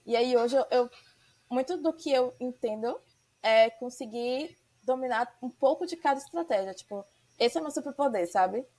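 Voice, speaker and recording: A woman's voice: a little high, moderate pace, warm tone. A woman from Salvador with a Bahian accent, hosting a podcast in a casual, chatty way with slang. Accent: Bahian Portuguese. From Salvador, Bahia, Brazil. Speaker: podcast host. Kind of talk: podcast interview.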